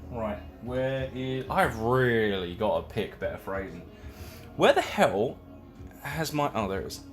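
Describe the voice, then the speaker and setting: A man's voice: mid-range voice, animated, unhurried. A man chatting casually on a live stream while painting and building a case, rambling and self-deprecating as he works. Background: faint music.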